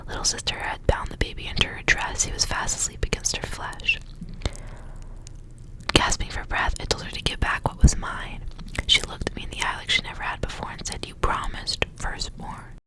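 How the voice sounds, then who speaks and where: A woman whispering softly as she reads aloud in an ASMR style. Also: moderate pace, rough recording. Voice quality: soft unvoiced whisper. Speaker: ASMR reader and channel host. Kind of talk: whispered ASMR storybook reading with casual asides.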